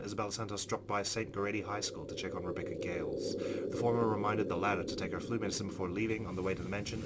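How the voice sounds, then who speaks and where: A man hosting a let's play, reading visual novel narration aloud and adding asides. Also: low voice. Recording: loud steady background noise.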